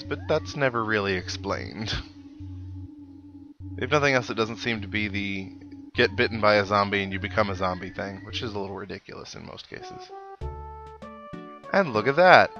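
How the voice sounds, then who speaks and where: A man's voice: lowish voice, pushed and bright, lo-fi sound. A young man giving casual, rambling video game commentary with reflective asides. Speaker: let's play host. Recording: voice-over mixed with game music. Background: video game music.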